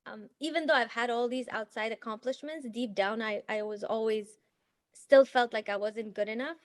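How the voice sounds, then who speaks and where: A woman who grew up in Afghanistan, a healer guest in an interview, talking earnestly with many pauses, fillers and restarts. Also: on the high side, no echo. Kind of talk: casual interview conversation.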